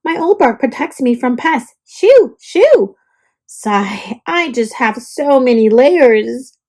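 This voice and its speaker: A woman's voice: high-pitched, big pitch swings, takes it slowly, a little mellow, full character voice. A woman voicing a perky, dramatic young oak tree telling its storybook diary, with theatrical exclamations. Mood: cheerful, dramatic. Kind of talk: storybook diary read-aloud.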